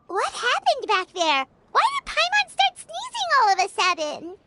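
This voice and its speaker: A woman voicing a tiny, excitable, childlike fairy companion in a video game, with a squeaky voice and an exclamatory manner. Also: moderate pace, heavy background noise, full character voice. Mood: puzzled. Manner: talks about herself in third person, exclamatory. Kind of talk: animated video game voice acting. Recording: loud game music behind.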